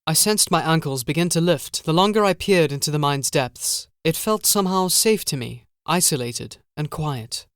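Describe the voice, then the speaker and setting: A man narrating a first-person horror audiobook in a measured, literary, brooding manner. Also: on the high side, animated, fairly bright tone, no room sound, studio quality.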